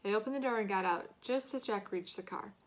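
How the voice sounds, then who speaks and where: A woman reads a children's novel aloud. Her voice has a medium pitch, and she narrates the scripted prose in an expressive storytelling manner. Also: brisk. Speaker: audiobook-style reader.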